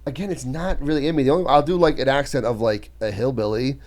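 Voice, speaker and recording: A man's voice: medium pitch, quick pace, darkish tone. A man in casual podcast conversation, speaking bluntly and informally as a comedian. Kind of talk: casual chat.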